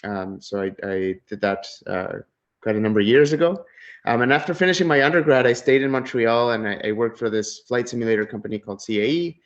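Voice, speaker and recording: A middle-aged man from Ecuador giving a relaxed conference talk over a video call, with many fillers and restarts. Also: medium pitch, a little fast. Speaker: keynote speaker. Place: home office. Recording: dull lo-fi video call audio.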